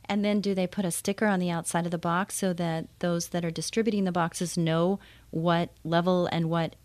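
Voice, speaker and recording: A woman's voice: lowish voice, fairly even intonation, moderate pace, darkish tone, no echo. A woman hosting a call-in radio show, chatty and enthusiastic, laughing easily with her guest. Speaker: radio host.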